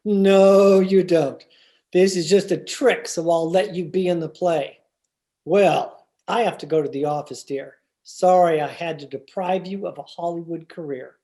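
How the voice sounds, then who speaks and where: A woman's voice: fairly low pitch, measured pace, dark tone, close to an everyday voice. A woman playing a teasing, stubborn husband in old-time radio comedy, with a theatrical, wry and exasperated delivery. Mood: firm, wry. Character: George, teasing, stubborn husband of a 1940s housewife. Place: remote video-call production.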